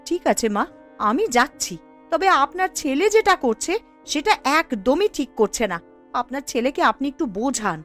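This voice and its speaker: A woman acts out a busy young housewife in a cartoon village tale. Her voice is very high and animated, with a brisk, homely, nagging manner. Soft music sits behind it. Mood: exasperated. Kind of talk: animated cartoon story dialogue.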